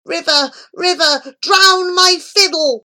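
A woman's voice: very high voice, slow pace, sharp tone, voice pushed up, full character voice. A woman voicing a jealous, desperate young grandson in a storybook reading, with impassioned, wounded outbursts. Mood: desperate hope.

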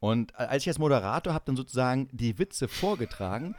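A man's voice: medium pitch, expressive, fairly quick, a little mellow, a little hiss or hum. A man in his forties from East Germany chatting casually as a podcast co-host, telling stories with teasing banter. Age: 40s. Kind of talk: casual chat, storytelling.

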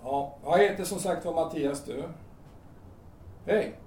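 An actor playing a carpenter in an audio drama, calmly and hesitantly coaxing someone in colloquial speech. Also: male voice, fairly high pitch, a little hiss or hum, natural voice. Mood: calm, placating. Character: calm carpenter talking a man down from a rooftop. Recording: roomy sound, distant from microphone.

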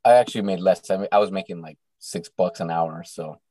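A man's voice: sits low, a little fast, warm tone. A man co-hosting a podcast, chatting casually and joking at his own expense. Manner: self-mocking, laughs a lot. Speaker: podcast co-host. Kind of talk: casual chat.

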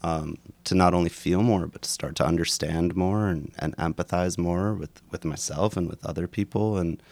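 A man in his thirties, a yoga and breathwork teacher, talking thoughtfully in an interview, halting and restarting often, a bit congested. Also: low voice, a little mellow, dry recording. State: congested and sniffling. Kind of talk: reflective conversational interview.

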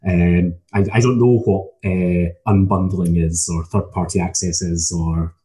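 Scottish male lecturer, measured and thoughtful; very dark, low-pitched voice. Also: steady tone. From Scotland. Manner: measured, thoughtful, frequent ums. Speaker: academic lecturer. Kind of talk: casual academic conversation.

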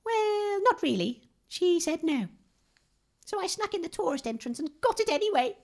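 A woman's voice: very high voice, big pitch swings, moderate pace, full character voice. A woman playing a blunt, dry-voiced mutant. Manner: plain, matter-of-fact and dry.

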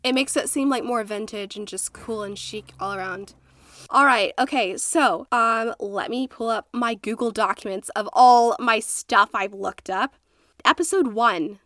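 A woman's voice: a little high, a little slow, a bit bright, no echo. A congested woman co-hosting a casual history podcast from a closet, telling stories in a chatty, playful way with lots of fillers. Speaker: podcast co-host. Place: small closet at home. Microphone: makeshift home setup.

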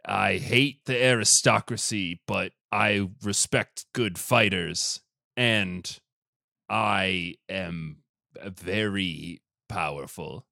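A man voicing a gruff, proud mercenary swordsman who speaks tersely in a dating show parody. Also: deep voice, drawn-out words, bright, forceful tone, no room sound, studio quality, voice pushed down, heavily acted. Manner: terse, declarative.

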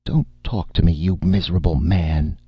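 A man voicing a feisty, bossy woman in a story, a sharp-tongued agent who scolds briskly and emphatically. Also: sits low, very animated, creaky, husky, no room sound, highs cut off, soft background music, voice pushed up, heavily acted. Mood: irritated.

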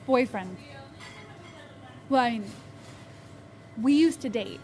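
Casting: young woman, highish voice, anxious and over-explaining, naturalistic radio drama, music underneath. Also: lively intonation, slow pace, darkish tone. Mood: flustered. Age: young adult. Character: anxious young woman, insistent she is fine after a breakup. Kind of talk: naturalistic audio drama acting. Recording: music behind the voice.

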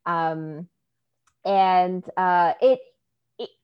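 A fairly low-pitched woman from New York, Spanish her first language, talking in an easygoing podcast chat. She sounds enthusiastic and keeps peppering her sentences with filler words. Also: extremely slow, clear, resonant tone, muffled recording. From New York, United States. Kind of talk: casual chat.

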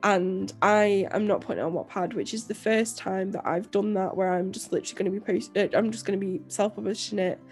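A young woman co-hosting a podcast, croaky and nasal from illness, rambling casually with lots of fillers and restarts. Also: mid-range voice, a little fast, few pauses, soft background music. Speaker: podcast co-host and author. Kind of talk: casual chat.

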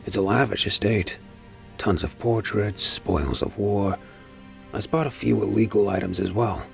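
An actor playing a weary, uneasy space pirate with a brooding manner, as in a first-person audio drama. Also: male voice, lowish voice, measured pace, creaky. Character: weary, uneasy male space pirate narrating his ordeal. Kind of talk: audio drama acting and narration. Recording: music behind the voice.

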